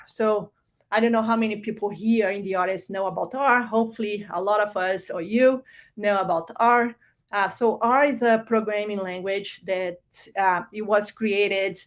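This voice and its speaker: A woman from Brazil with a Brazilian Portuguese accent, giving a conversational conference keynote with frequent fillers and restarts. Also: medium pitch. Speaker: keynote speaker.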